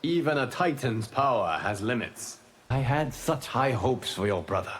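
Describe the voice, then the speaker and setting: An actor playing a wise, calm protector god in a video game, speaking in a solemn, measured, formal way. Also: male voice, medium pitch, close to an everyday voice. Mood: solemn, encouraging. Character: wise, calm male god protecting Earth, stern but kind. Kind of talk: video game dramatic dialogue. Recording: noisy game capture with clicks.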